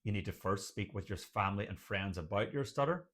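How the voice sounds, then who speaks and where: A man's voice: fairly low pitch, animated, a little fast, a bit bright. An Irish man presenting motivational advice to camera, speaking emphatically and building points through repeated lists. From Ireland. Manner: emphatic, repetitive listing. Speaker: video host, doctor and stuttering advocate.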